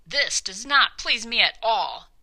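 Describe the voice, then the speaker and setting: A woman's voice: on the high side, lively intonation, measured pace, pushed and bright, full character voice. A woman voicing a contemptuous, grumbling, scheming male king from a children's storybook, with a blustering, sneering delivery. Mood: indignant, grumbling. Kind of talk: children's storybook character reading.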